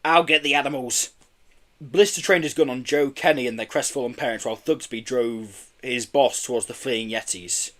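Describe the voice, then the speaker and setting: A man's voice: mid-range voice, normal speed. A man reading a children's storybook expressively, occasionally stumbling over his words. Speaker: audiobook reader.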